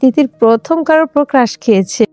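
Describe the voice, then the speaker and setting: A woman's voice: high-pitched, lively intonation, fast talker, mellow, dry recording, faint music behind. A woman playing a teasing, outspoken young best friend in a romantic audio story. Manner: teasing, blunt.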